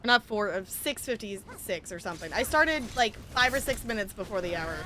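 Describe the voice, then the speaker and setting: Female game streamer, casual and playful, lively high voice over clear background noise. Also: moderate pace. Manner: expressive, playful exclamations and pleading. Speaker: live streamer. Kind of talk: casual gameplay commentary, chatting with viewers.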